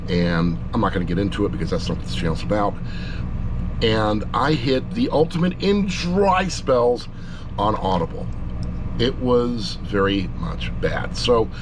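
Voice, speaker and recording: A man giving a casual, unscripted book review in a halting, rambling way, correcting himself as he goes. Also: mid-range voice, noisy background. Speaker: audiobook reviewer, channel host.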